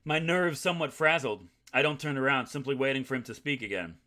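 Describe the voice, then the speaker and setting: A man's voice: mid-range voice, fast talker, pushed and bright, close to an everyday voice. A man reading the inner monologue of an anxious, self-loathing schoolboy in a visual novel, gloomy and wordy. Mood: resigned. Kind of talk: visual novel read aloud.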